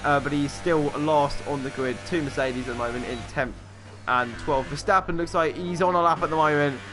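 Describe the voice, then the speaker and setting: A man commentating a sim racing qualifying session, calling laps quickly and excitedly, with offhand asides. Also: a little high, noisy background. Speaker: race commentator.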